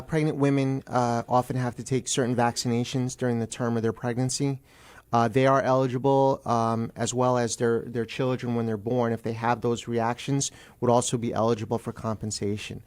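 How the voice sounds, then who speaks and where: An American man, an attorney guest on a radio interview, explaining things in plain terms with halting self-corrections. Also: medium pitch, little pitch movement.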